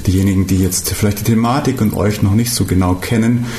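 A man hosts a studio radio interview, his voice low and warm. He hesitates, restarts and fills pauses as he talks. The room adds a noticeable echo. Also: fairly even intonation, slight background noise. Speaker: radio host. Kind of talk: radio talk show interview.

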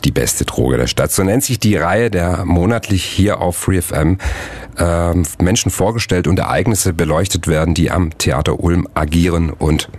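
A man hosting an informal radio interview, talking in a halting, wordy and jovial way. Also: low voice, darkish tone. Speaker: radio show host.

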